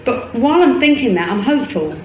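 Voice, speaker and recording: A woman's voice: a little high, very animated, fast talker, a little hiss or hum, poor audio. A woman interviewing people and speaking hopefully, thinking aloud and repeating herself. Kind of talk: casual interview chat.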